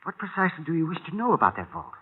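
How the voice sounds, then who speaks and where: A proud, effusive gentleman industrialist from a golden-age radio play. His delivery is ornate and courteous, rising and falling widely. The sound is thin and tinny, ringing in a long reverb. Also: on the high side, very animated, rapid-fire, husky. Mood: courteous, fussy. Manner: flowery, formal, theatrical, flustered asides. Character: fussy, effusive safe-company president, proud and theatrical. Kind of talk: 1950s radio drama acting.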